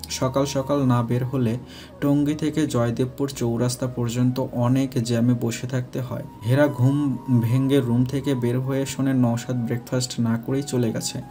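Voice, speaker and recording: A man's voice: medium pitch, warm tone. A man narrating a scripted romantic story.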